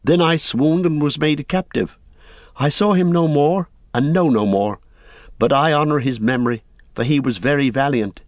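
A man voicing a young halfling, raising his voice higher and speaking earnestly. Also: moderate pace, no echo, lo-fi sound, close to an everyday voice. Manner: earnest, faltering then proud.

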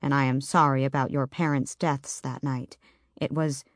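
A woman voicing a solemn ancient male talking door knocker in an audiobook, speaking low and measured. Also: little pitch movement, no room sound, studio quality. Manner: grave, lowered, measured.

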